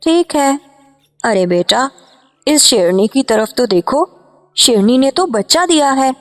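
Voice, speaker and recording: A woman's voice: high voice, lively intonation, takes it slowly. A woman voicing an anxious, scolding mother in a cartoon story, given to exclaiming. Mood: surprised. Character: scolding, anxious mother of a grown hunter son.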